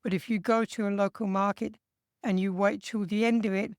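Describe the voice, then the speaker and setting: A man from England with an English accent, co-hosting a casual chat and giving steady, earnest encouragement. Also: sits high, brisk, clear, resonant tone, no room sound, studio quality. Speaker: co-host.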